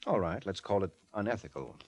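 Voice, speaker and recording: Casting: a hard-boiled radio detective, quick and clipped, sardonic and probing, swinging lively in pitch. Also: male voice. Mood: sardonic, pressing. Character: brash, wisecracking, hard-boiled male private detective. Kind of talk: 1940s radio detective acting. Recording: noisy vintage radio recording, clicks.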